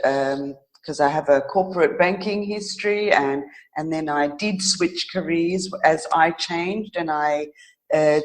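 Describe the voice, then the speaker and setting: Slow, halting middle-aged woman. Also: sits low, low-quality recording. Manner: halting, searching for words, thoughtful. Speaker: interview guest, author. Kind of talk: reflective personal storytelling in an interview.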